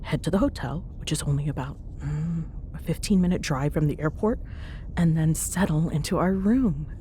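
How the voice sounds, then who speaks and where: A woman's voice: normal speed, warm tone, lightly acted. A woman playing a teasing, bossy but fond young ghost hunter in an intimate ASMR roleplay, bantering sarcastically in a casual, murmuring way. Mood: wry, planning. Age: young adult. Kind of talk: ASMR audio roleplay, intimate one-sided chat. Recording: clear background noise with low rumble.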